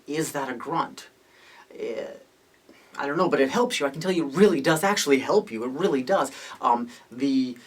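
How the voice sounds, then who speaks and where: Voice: mid-range voice, slightly rough. A podcast host giving a casual, unscripted opinion monologue, rambling and thinking aloud with rhetorical questions. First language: Hungarian or English, multilingual.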